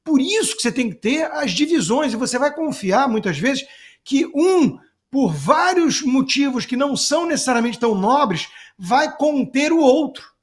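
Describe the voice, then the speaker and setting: A man's voice: very high-pitched, sing-song, normal speed. A man with a Brazilian accent giving off-the-cuff political commentary, sarcastic, emphatic and colloquial. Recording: music playing behind the voice. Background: music.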